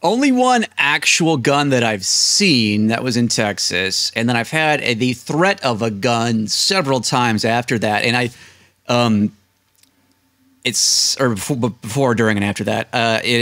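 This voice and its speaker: Casual podcast guest: American male, thirties, mid-pitched voice, storyteller, dry desk-mic sound. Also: a bit bright, no echo. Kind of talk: casual interview anecdotes. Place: small indoor room, seated at desk. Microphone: close desk microphone on video call.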